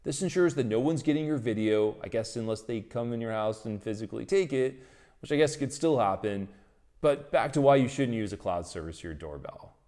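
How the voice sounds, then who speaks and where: A man's voice: mid-range voice, lively intonation, a little fast, mellow. A man, explaining technology as the host of a tutorial video. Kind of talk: explanatory tech tutorial, presenting to camera and in voice-over.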